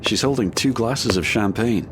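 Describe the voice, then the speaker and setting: A man narrating a scripted audio drama in a measured, dramatic manner. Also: medium pitch, noisy background.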